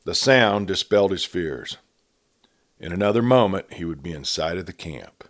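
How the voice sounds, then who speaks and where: Deep-voiced male storyteller, measured pace. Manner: measured, steady storytelling delivery. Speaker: storyteller reading a novel aloud. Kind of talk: audiobook narration of a Western.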